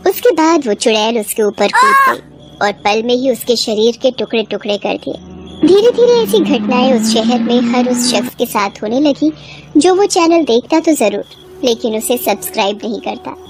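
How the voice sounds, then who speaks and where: A woman's voice: a little high, big pitch swings, moderate pace. A woman storyteller reading a scripted horror bedtime story. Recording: clear background ambience noise.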